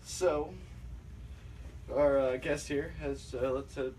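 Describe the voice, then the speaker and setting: A man hosting a casual interview show with playful, halting banter. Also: medium pitch, steady tone, a little mellow. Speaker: talk show host. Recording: noisy room ambience, amateur show recording.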